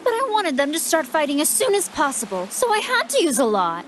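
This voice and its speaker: Casting: sweet-toothed android villainess for anime game, high-pitched, swooping delivery, playfully nonchalant. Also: female voice, wildly swooping pitch, fairly quick, a bit bright, a little hiss or hum, full character voice. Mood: playfully menacing. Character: whimsical sweet-toothed female android villain, scheming and airy. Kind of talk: anime game cutscene acting.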